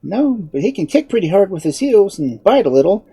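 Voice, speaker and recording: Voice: mid-range voice, very animated, fairly quick, dark tone, slightly rough, pitched above the performer's own voice. A storybook narrator voicing an earnest, curious young girl who speaks frankly and emphatically. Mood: matter-of-fact.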